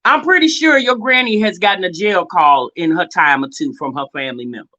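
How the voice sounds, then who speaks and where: A woman's voice: on the low side, a little fast, pushed and bright, highs cut off. An American woman giving casual, opinionated commentary as a reaction video host, blunt and sassy, often exasperated and firing off rhetorical questions.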